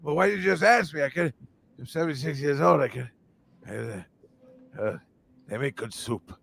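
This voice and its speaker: A man voicing a cranky seventy-six-year-old boxing trainer with a croaky voice that jumps between low and shrill. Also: moderate pace, fairly bright tone, heavily acted.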